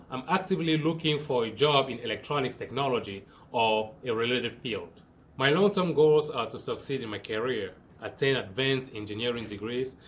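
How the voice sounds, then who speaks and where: A man's voice: medium pitch, slight background noise. Earnest young Cameroonian man presenting. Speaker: student presenter. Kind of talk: scripted science presentation.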